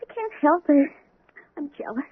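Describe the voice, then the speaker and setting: An actress playing a jealous, fearful wife in a 1970s radio drama. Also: female voice, high voice, big pitch swings, moderate pace. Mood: anxious. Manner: clingy, anxious. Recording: tinny old radio broadcast.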